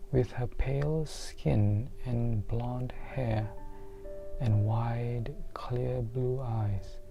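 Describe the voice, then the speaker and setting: A soft-spoken reader giving an ASMR storybook reading, hushed and deliberate, pausing often between phrases and slipping into near-whispers. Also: mid-range voice, vocal fry, rough recording. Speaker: book reader.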